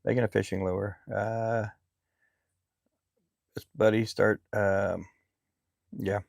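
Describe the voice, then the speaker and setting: Middle-aged man, low and gravelly, easygoing rambler, dry close recording. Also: slow, no room sound. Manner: relaxed, rambling, frequent fillers. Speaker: lure maker live streamer. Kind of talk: casual live stream chat.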